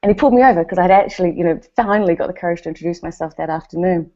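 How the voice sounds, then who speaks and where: A woman's voice: fairly low pitch, very fast, muffled recording. A woman from New Zealand with a New Zealand accent gives a motivational speech at a company event, telling stories and acting out what others said. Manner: animated storytelling with quoted voices.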